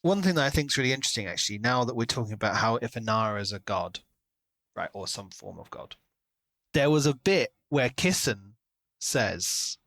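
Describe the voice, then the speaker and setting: A man with an average-pitched voice talks as a podcast co-host. He is conversational, reflective and enthusiastic about what he discusses. The sound is dry, with very little room in it. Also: fairly bright tone, no echo. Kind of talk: casual book discussion.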